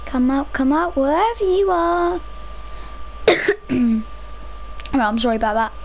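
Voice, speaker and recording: A young woman chatting casually over her own gameplay, rambling to herself, her voice a little rough from a cough. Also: sits high, lively intonation, slow, slight background noise. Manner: chatty, rambling, self-talking. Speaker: gaming video creator.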